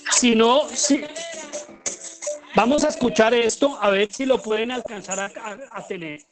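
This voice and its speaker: A middle-aged man with a Colombian accent giving an informal lecture, often pausing and repeating himself as he searches for words. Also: extremely high pitch, steady tone, music in the background. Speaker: lecturer, radio drama director.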